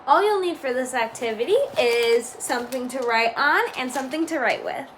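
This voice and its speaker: Young woman reading aloud, earnest and steady. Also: high-pitched, normal speed, a little hiss or hum. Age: young adult. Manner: earnest, steady reading, turns bubbly and upbeat for the activity. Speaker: student reader. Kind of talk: reading a book aloud, then leading a class activity.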